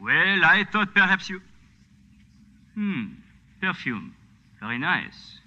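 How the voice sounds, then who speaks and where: Sharp-voiced, suave police villain. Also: male voice, high voice, big pitch swings, slow, highs cut off, exaggerated voice. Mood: suave, threatening. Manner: polite, formal, insinuating. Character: suave, menacing French colonial police officer. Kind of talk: 1940s radio acting.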